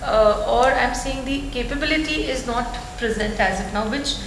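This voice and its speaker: A woman's voice: fairly high pitch, moderate pace. A woman with a big voice, a retail executive on a panel, rambling with lots of fillers. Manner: loud, rambling, lots of "you know". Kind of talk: panel discussion. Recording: echoey room with clear background noise.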